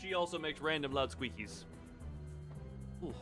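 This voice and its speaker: Male streamer, higher register and very animated delivery; dramatic read-aloud mixed with playful asides. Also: normal speed, music in the background. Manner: theatrical, jokey, often breaking off into asides. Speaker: livestreamer reading game dialogue aloud.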